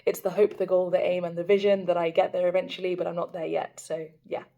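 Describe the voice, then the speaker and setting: A young woman reading scripture aloud at a steady, even pace for her daily Bible video, speaking into a phone. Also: fairly low pitch, fast, creaky, muffled recording.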